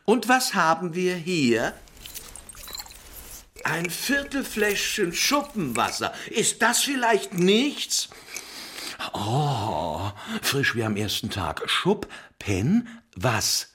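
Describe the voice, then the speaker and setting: An actor playing a quirky, chatty barber in a children's radio play, telling rambling, theatrical stories. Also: male voice, fairly high pitch, sing-song, very slow, bright, forceful tone, full character voice. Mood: insistent, salesman-like. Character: chatty, quirky male barber and salesman of odds and ends.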